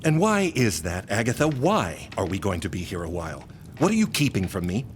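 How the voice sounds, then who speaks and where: A man's voice: lowish voice, wildly swooping pitch, a little fast, a little hiss or hum. An actor playing a proud, embattled institute provost in a gothic period audio drama, speaking formally and pointedly as he presses for answers. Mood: demanding, concerned. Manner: formal, clipped, probing, indignant.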